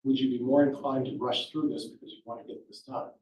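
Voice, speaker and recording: A man questioning prospective jurors in a courtroom, talking in a loud, projecting voice and rambling with many fillers. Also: medium pitch, level tone, fast. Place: large courtroom, indoors. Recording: echoey courtroom audio from far off.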